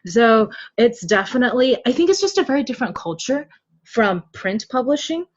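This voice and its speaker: A chatty woman speaking casually as an interview guest, quick to laugh, explaining her work as a translator. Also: fairly high pitch, sing-song, lo-fi sound. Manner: chatty, laughs readily while talking. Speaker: podcast guest, web novel translator.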